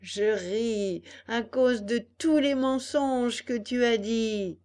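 A woman voicing a kind young fairy in a storybook, patient and tender as she gently chides. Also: a little high, slow pace. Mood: gently chiding.

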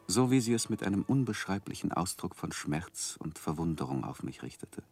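An actor playing an earnest man looking back on his youth in a measured, reflective 1960s radio drama manner. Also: low-pitched, big pitch swings, vocal fry, darkish tone, slightly rough, soft background music, full character voice. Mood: rueful, reflective. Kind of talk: 1960s German radio drama narration and acting.

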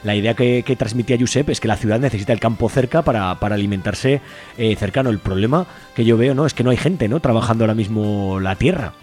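Male radio host, quick speech, faint music underneath. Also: fairly low pitch, darkish tone. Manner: brisk, leans on tag questions. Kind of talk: radio interview, conversational.

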